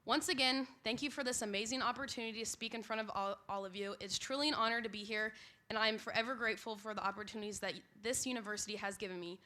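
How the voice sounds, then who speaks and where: A young woman, a college softball player, giving a grateful, upbeat prepared speech at a banquet. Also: fairly high pitch, steady tone, fast. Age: young adult. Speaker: student athlete.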